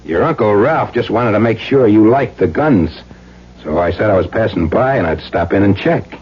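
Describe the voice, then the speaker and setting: A man's voice: on the low side, lively intonation, normal speed, breathy edge. An actor playing a hardened, desperate ex-convict who talks in menacing tough-guy slang in a radio thriller. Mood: gruff, guarded. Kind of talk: old-time radio thriller acting. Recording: dull vintage radio recording.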